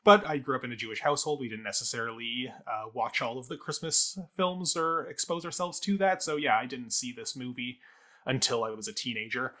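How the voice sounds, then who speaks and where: A man chats as co-host of a review show. His voice is bright and forceful at a middle pitch, and he rambles through long, wordy asides in a casual style. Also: brisk. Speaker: podcast co-host.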